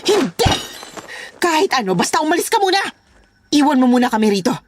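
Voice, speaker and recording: A woman's voice: sits high, very animated, a little fast. Woman playing a bossy secretive boss lady, snappy and commanding, voice jumps around a lot, bit of noise, horror drama. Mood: irritated. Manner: curt, commanding. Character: bossy, secretive, short-tempered female employer. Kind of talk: audio horror drama acting.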